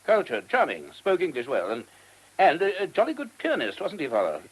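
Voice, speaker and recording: A man's voice: a little high, huge pitch swings, brisk, slightly rough, heavily acted, poor audio. An actor playing a genteel, discreet male abbot in a radio play, tactful and donnish, picking words with hesitation.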